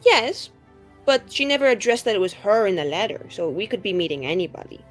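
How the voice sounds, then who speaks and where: A woman's voice: mid-range voice, very animated, a little fast, faint music behind. A woman playing a bubbly, vain, scatterbrained young adventurer in a tabletop roleplay, rambling breezily with lots of "like". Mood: earnest, chatty. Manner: rambling, heavy use of "like", breezy.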